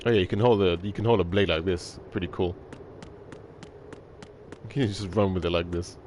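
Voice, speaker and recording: A man's voice: low-pitched, steady tone, normal speed, a little mellow, a little hiss or hum. A man giving casual live gameplay commentary in a playful, slangy way. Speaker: live-streaming gamer. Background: game music and gunfire.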